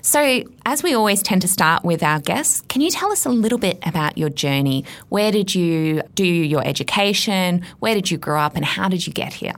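A woman's voice: lowish voice, lively intonation, moderate pace, hardly any pauses. A woman hosting an interview podcast, introducing the show and asking questions with brief, friendly interjections.

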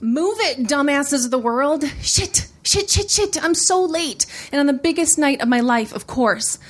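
Woman playing a brash, high-voiced young female comic. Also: very animated, moderate pace, a little hiss or hum. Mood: frantic, exasperated. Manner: sassy, exclamatory. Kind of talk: first-person comic fiction reading.

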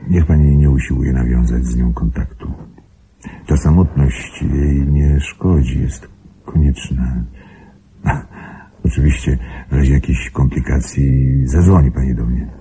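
An actor playing a grave, secretive wealthy husband in a radio drama, speaking formally with measured control in a gravelly, husky voice. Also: male voice, extremely low pitch, steady tone, mellow, exaggerated voice. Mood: firm, instructing. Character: grave, secretive wealthy husband guarding his invalid wife.

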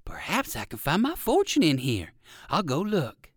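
A man voicing a polite, curious old gentleman rabbit in a children's storybook, expressive and kindly. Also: on the high side, normal speed, no room sound, clean studio sound. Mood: pleased, musing.

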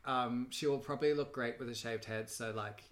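A man's voice: medium pitch, fairly even intonation, normal speed, fairly bright tone. Rambling man in his thirties. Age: 30s. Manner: rambling, hyperbolic, self-deprecating. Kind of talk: casual chat.

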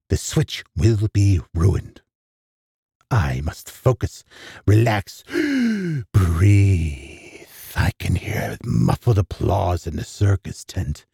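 A man giving a theatrical first-person horror narration with a gravelly, husky voice, dropping into hushed asides. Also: low-pitched, no echo, clean studio sound. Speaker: storyteller.

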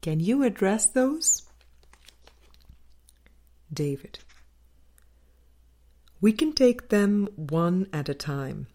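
A woman reading a spiritual audiobook as scripted narration, in measured, deliberate phrases with frequent pauses. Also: medium pitch, expressive, mellow. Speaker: audiobook reader.

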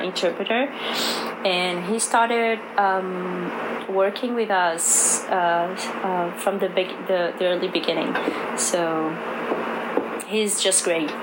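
A woman's voice: lowish voice, steady tone, slow pace. A woman with a Brazilian Portuguese accent, a non-native English speaker, answering interview questions with many fillers and restarts. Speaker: cultural project coordinator. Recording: noisy background ambience.

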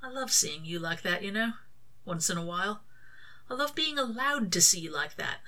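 Cheeky male demon for a story reading, acted by a woman: casual, slangy, sardonic, bright and forward in tone. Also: mid-range voice, animated, moderate pace. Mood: gentle, earnest. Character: cheeky, teasing, laid-back male demon.

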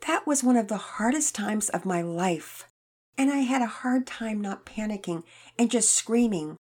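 Woman playing gentle mother, soothing, calm, slightly husky. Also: on the high side, measured pace, voice pushed up. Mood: gentle, reassuring.

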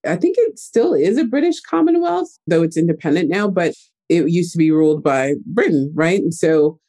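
Chatty young guy telling his story in an interview, mellow tone. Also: a little high, animated, moderate pace. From United States. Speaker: guest. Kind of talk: casual interview talk.

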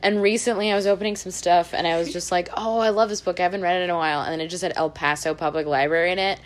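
A woman with a fairly low voice co-hosts a podcast. She talks casually and tells personal anecdotes in a conversational style. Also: steady tone, racing. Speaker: podcast co-host. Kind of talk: casual chat, storytelling.

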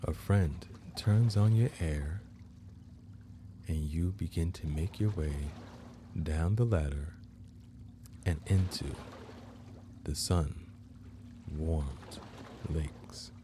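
A man hosting a guided meditation, narrating in measured, deliberate phrases with long pauses. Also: a bass voice, dark tone, slight background noise. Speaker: guided meditation podcast host.

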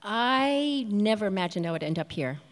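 A woman's voice: mid-range voice, animated, normal speed. An American woman film producer telling her story on a panel, reflective and earnest. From United States. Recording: reverberant hall.

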